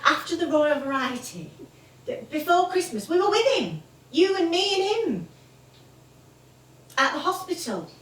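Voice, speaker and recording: Actress as animated, worried Northern English daughter. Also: female voice, very high voice, measured pace, long pauses, heavily acted. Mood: careful, concerned. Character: comedienne's adult daughter, worried, caring, pleading. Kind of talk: theatrical stage acting. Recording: echoey theatre, distant mic.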